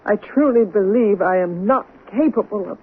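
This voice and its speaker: An actress in a 1970s radio drama playing a bitter, defensive, hard-drinking wife with a melodramatic manner. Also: female voice, medium pitch, husky, natural voice. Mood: defensive, shaken. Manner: halting, melodramatic. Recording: tinny narrow-band 1970s radio recording, noisy.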